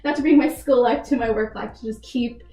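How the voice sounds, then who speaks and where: A woman's voice: fairly high pitch, steady tone, a little fast, mellow, roomy sound. A young woman from Texas answering interview questions earnestly, peppering her speech with "like" as a filler. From Texas, United States. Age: young adult. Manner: earnest, filler-heavy with frequent "like". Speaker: job applicant being interviewed, retail worker.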